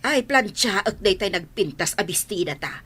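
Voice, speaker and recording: A woman's voice: mid-range voice, animated, normal speed, sharp tone, breathy edge. A woman playing a cheerful, chatty old auntie in a radio drama. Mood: excited. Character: excitable old great-aunt, cheerful and chatty. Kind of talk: Ilocano radio drama acting.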